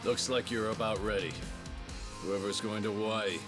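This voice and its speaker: A man's voice: lowish voice, fairly even intonation, normal speed, lightly acted. An actor playing a stoic, seasoned man in a video game, terse and restrained. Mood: calm, resolute. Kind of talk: English video game dub acting. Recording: music behind the voice.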